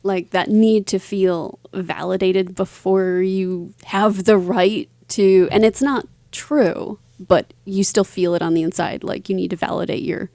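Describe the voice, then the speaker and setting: A woman's voice: medium pitch, steady tone, measured pace, hardly any pauses, warm tone, no echo. Interview guest, woman, mid-range voice. Informal, modest, chatty storyteller, very little room sound. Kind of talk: casual conversational storytelling.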